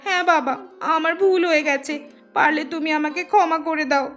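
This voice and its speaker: A woman's voice: sits high, a little fast, a bit bright. A woman voicing a young village daughter in a cartoon fairy tale, earnest and pleading. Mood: dejected. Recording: music under the voice.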